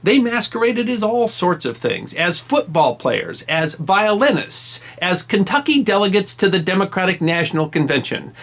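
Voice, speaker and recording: A man's voice: on the high side, a bit bright. A man narrating a history story from a script, with wry, emphatic delivery and dry asides. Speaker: history channel host.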